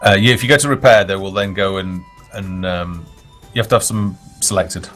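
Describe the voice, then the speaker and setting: Low voiced guy, game dev on a stream call, explaining stuff casually, bit of music under. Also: a little fast, fairly bright tone. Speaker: game developer, stream guest. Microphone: push-to-talk voice chat. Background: background music.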